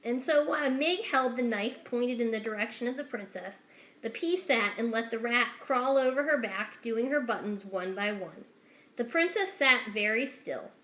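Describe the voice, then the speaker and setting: High-voiced woman reading a storybook, echoey room. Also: lively intonation, normal speed, a bit bright. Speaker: children's story reader. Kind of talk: expressive scripted storybook narration.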